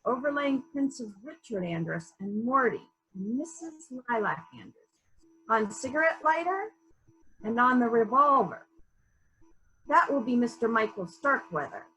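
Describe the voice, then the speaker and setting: A methodical female detective played by a woman runs a murder inquiry. Her voice is fairly high and very lively in pitch, as she muses deliberately and asks pointed questions. It sounds like a dramatic mystery reading. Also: low-quality recording. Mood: musing. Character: female police detective in charge, probing and methodical. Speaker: actor in a library's dramatic reading.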